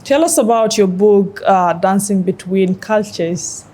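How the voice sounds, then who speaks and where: A woman's voice: mid-range voice, measured pace, warm tone. A woman, hosting a conversational interview. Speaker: interview host.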